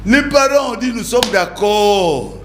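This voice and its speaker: An older pastor from the Congo with a Congolese French accent, answering interview questions with anecdotes and frequent laughter. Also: male voice, high voice, lively intonation, a little slow, a bit bright. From Democratic Republic of the Congo. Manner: laughs while telling stories, repeats words. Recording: echoey room with background noise.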